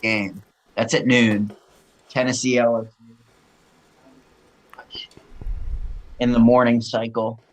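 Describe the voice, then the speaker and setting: An excitable man co-hosts a casual talk show, chatting easily with a friend in a mid-range voice. He talks loosely and swears casually. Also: mid-range voice, slow, pauses often, poor audio. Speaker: podcast co-host. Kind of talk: casual chat.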